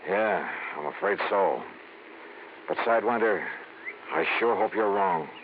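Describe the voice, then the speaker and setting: A level-headed, hardboiled insurance investigator speaks in a mid-pitched, lively voice, brisk and matter-of-fact. The sound is narrow and phone-like, and a loud hiss runs under it. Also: male voice. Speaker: narrator and lead. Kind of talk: 1950s radio acting. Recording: scratchy old radio broadcast with heavy hiss.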